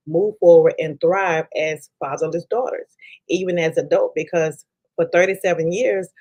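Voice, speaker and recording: A woman in her forties from Dallas, Texas, with a Texan accent, speaking as a podcast guest in a halting way with many ums and restarts. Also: low-pitched, darkish tone. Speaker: podcast guest, life coach. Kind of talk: conversational interview.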